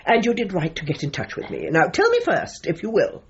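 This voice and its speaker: A very husky, imperious lady dog breeder in a radio comedy. Her speech swings wildly up and down with theatrical precision, on a slightly dull recording. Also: lowish voice, wildly swooping pitch, brisk, exaggerated voice. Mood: grand, brisk. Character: grand, self-assured professional dog trainer and breeder woman. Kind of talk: comic radio drama acting.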